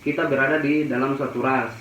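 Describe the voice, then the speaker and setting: Mid-pitched Papuan man in heavy echo. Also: steady tone, brisk, a bit bright. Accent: eastern Indonesian. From Tambrauw, Southwest Papua, Indonesia. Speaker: interview guest. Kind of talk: casual interview answers. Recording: very echoey, muffled, faint noise.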